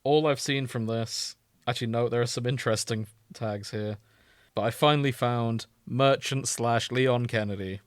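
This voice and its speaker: A man with a mid-pitched voice chats as a podcast host. The delivery is casual and comedic, and the sound is dry and close with almost no room echo. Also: steady tone, normal speed.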